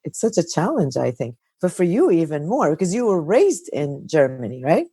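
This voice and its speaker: A woman hosting a podcast conversation in a casual, chatty way, with lots of "like"s and stories. Her voice sits very low for a woman, and the recording is dry and close. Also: very animated, normal speed, mellow, breathy edge, dry recording. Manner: chatty, anecdotal, with many "like"s and "you know"s. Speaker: podcast host, counselor. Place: Kuwait.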